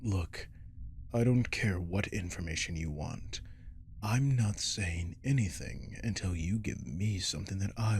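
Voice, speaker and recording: A man's voice: sits low, darkish tone, slight background noise. A man voicing a captive werewolf in an ASMR roleplay, cocky and predatory, with taunting, flirtatious drawls that often drop toward a whisper. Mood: taunting bargaining. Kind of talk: ASMR roleplay audio drama.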